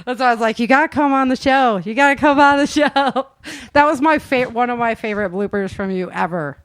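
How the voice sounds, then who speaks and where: A woman with a fairly high voice chats casually as host of a show. The sound is dry and close, and her delivery is conversational. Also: fairly quick, dry recording. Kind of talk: casual interview chat.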